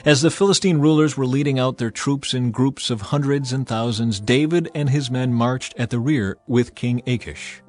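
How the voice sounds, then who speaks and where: A man narrating scripture in a measured, even reading. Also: mid-range voice, soft background music.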